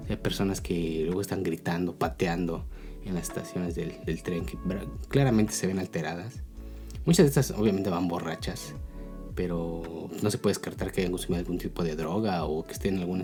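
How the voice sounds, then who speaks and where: A man's voice: low-pitched, normal speed, over music. A man with a Mexican accent giving a casual, explanatory opinion talk as a video blogger, often checking in with tag questions.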